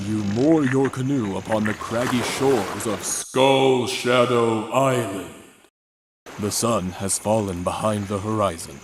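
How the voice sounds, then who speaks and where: Man as grave storybook narrator, lowish pitch, slow deliberate pace, mock-serious, theatrical, ambient effects behind. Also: noisy background. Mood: ominous, grandiose. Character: grave, portentous narrator of boys' mystery adventure. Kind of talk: dramatic scripted narration.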